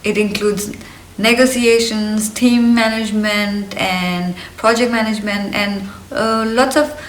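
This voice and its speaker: A woman's voice: medium pitch, steady tone, measured pace, slight background noise. Woman, 30s, Indian accent, Hindi native. Chirpy and earnest vlogger, recorded in a live room. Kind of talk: personal get-to-know-me vlog. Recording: roomy, slightly distant mic.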